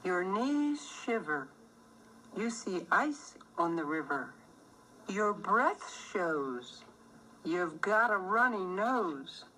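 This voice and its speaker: Bright-voiced woman reading a children's storybook. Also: mid-range voice, big pitch swings, very slow, slight background noise, rough recording. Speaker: storybook reader. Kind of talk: scripted rhyming children's storybook narration.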